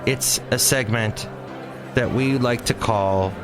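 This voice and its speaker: A man's voice: medium pitch, slow, loud music behind the voice. A man hosting his own podcast in a rambling, jokey monologue with playful asides. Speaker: podcast host. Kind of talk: casual solo podcast monologue.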